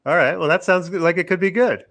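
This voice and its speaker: A man, a comedian, hosting a casual interview over a video call, chatty and joking with halting restarts. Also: high voice, a bit bright. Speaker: comedian and podcast host.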